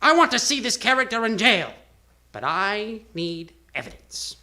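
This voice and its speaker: An actress playing an aggrieved, determined carnival performer, imploring and emphatic, in a live old-time radio drama. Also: female voice, mid-range voice, sing-song, normal speed, pushed and bright. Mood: indignant. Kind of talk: live 1940s-style radio drama acting.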